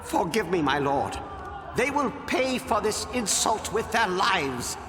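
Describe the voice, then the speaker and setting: A man's voice: high voice, big pitch swings, slow pace, full character voice. An actor playing an obsequious, officious lord's henchman in a video game, servile and fussy. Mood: vengeful, servile. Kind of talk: dramatic video game voice acting. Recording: noisy, with long hall reverb.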